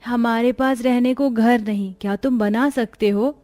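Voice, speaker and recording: A woman's voice: on the high side, fairly even intonation, a little slow, warm tone, lightly acted. A woman voicing a caring, protective orphan girl, an eldest sister in a cartoon story.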